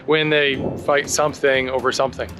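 A man's voice: medium pitch, level tone, fairly quick. Man, 40s, travel host; chatty, wry explainer, recorded outdoors on a camera mic with music bed. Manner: chatty, joking, touristy explainer. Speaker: travel vlogger guide. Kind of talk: casual travel vlog narration. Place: outdoors in a park and museum halls.

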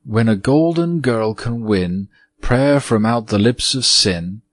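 A man reading aloud, voicing a gentle, earnest little girl in a raised, soft voice. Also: medium pitch, slow, dry recording, clean studio sound.

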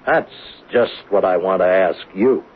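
An actor playing a blunt, probing police lieutenant in a 1970s radio drama, questioning tersely and insistently. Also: male voice, low-pitched, a little slow, slightly rough, soft background music. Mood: stern. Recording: narrow, phone-like vintage radio sound.

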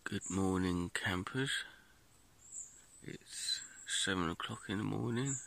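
A British man chatting casually to camera as an outdoor camping vlogger, with a low-key, laid-back manner. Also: slow, vocal fry, a bit bright. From United Kingdom. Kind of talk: casual vlog chat. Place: outdoors in woodland. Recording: noisy handheld outdoor recording.

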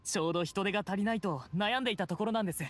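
A man's voice: high voice, very fast, a bit bright, slight background noise. A man playing a dutiful, troubled town guard in an anime game. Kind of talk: Japanese anime game voice acting.

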